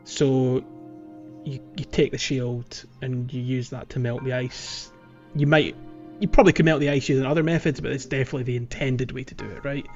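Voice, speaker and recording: A man's voice: medium pitch, fairly even intonation, a little fast, highs cut off, faint music behind. A man hosting a podcast, explaining things step by step in casual gaming chat. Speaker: podcast host. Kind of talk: casual game walkthrough chat.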